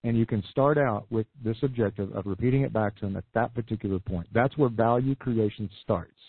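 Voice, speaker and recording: A man coaching entrepreneurs in a podcast interview, losing his voice, talking in an energetic sales pitch with many restarts. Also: brisk, husky, dry recording. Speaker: business coach guest. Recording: phone-like lo-fi dry audio.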